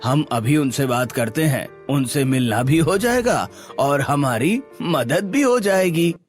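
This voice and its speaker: A man's voice: a little high, expressive, unhurried, soft background music. A man voicing a polite, agreeable, practical family man in scripted cartoon story dialogue.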